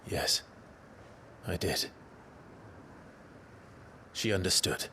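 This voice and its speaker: An actor playing a grave, resolute outlaw leader in a fantasy video game, speaking in a measured, commanding, understated way. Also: male voice, low voice, sharp tone, a little hiss or hum, exaggerated voice. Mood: subdued, solemn. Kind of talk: dramatic video game acting.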